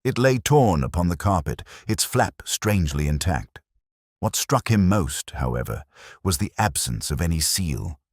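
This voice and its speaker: A man narrating a scripted mystery story in a measured, formal way. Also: deep voice, sing-song, darkish tone, breathy edge, dry recording, clean studio sound.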